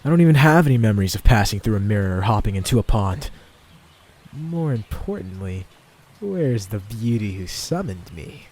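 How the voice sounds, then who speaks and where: A man's voice: fairly low pitch, very animated, normal speed, a little mellow. An actor playing a flippant, self-mocking young man stranded in a fantasy world, theatrically muttering to himself as in an anime dub. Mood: exasperated, muttering. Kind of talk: anime dub internal monologue.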